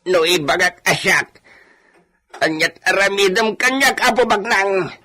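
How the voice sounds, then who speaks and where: A man's voice: sits high, a little slow, a crowd in the background. A man playing a defiant, anguished old captive in his eighties in a radio drama.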